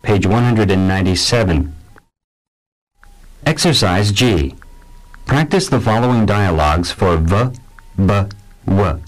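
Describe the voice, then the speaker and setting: A man's voice: deep voice, low-quality recording. A man reading instructions and example words for a language course. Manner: slow, measured announcing. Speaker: course narrator.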